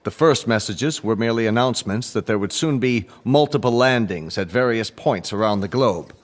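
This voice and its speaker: An actor playing a formal, grave Secretary General addressing an assembly in measured, oratorical radio drama style. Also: male voice, mid-range voice, lightly acted. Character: formal UN Secretary General making grave announcement, growing flustered.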